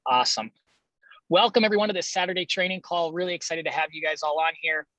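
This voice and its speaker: A man's voice: on the high side, fast, bright, forceful tone, dry recording, low-quality recording. A man hosting a training call in a casual, chummy, enthusiastic way, introducing and interviewing a guest.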